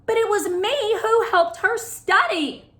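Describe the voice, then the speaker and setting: A woman voicing an indignant, competitive schoolgirl in a storybook, loud and protesting. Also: very high-pitched, slow, reverberant, pitched above the performer's own voice, full character voice. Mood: outraged.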